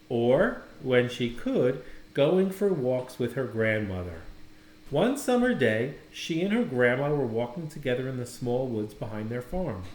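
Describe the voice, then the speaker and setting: Animated man reading in an echoey room. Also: medium pitch. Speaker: educator reading a picture book aloud. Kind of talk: expressive storybook narration.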